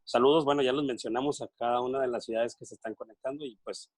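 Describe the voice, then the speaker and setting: A Mexican man co-hosting an online talk, speaking informally and courteously with halting phrasing. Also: mid-range voice, steady tone, no room sound. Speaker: co-host relaying audience messages. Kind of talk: informal live-stream co-hosting.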